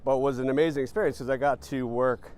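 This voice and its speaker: A startup founder answering interview questions in a relaxed, thoughtful way, with many ums and uhs. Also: male voice, mid-range voice, fast talker. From born in Panama, raised in New Jersey.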